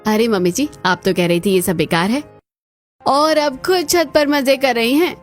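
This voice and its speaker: A woman acts out a homely housewife in a family cartoon. She is chatty and exclamatory in a fairly high voice, with faint music behind her lines. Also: normal speed, natural voice. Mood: excited, teasing. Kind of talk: scripted cartoon dialogue.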